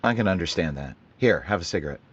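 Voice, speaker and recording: An actor playing a police detective in a 1940s-style radio drama, asking questions in a level, matter-of-fact way. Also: male voice, low voice, lively intonation, fast talker, breathy edge, muffled recording. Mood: businesslike. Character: calm male police detective, businesslike.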